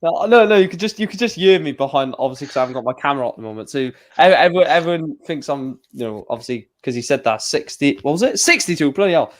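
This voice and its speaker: A man's voice: a little high, lively intonation, quick pace, hardly any pauses. A man joining a casual video-call chat with teasing, joking banter.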